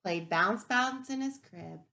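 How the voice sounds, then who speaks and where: A woman reading a picture book aloud to young children as a teacher, expressively, pausing to wonder aloud and ask them questions. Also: mid-range voice, gravelly. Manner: expressive, wondering aloud, inviting listeners to reflect.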